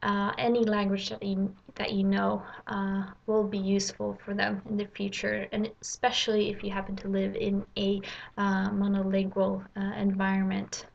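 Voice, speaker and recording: A woman's voice: medium pitch, monotone, moderate pace, creaky. A woman, speaking hesitantly in short phrases in a casual personal vlog. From Sweden. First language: Swedish. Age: 20s. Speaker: vlogger. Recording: dull, lo-fi home recording.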